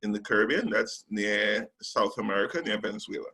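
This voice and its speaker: A man from Trinidad and Tobago, a recruitment specialist, giving a measured webinar presentation with frequent ums and pauses over a call microphone. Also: lowish voice, animated, bright, forceful tone, slightly rough.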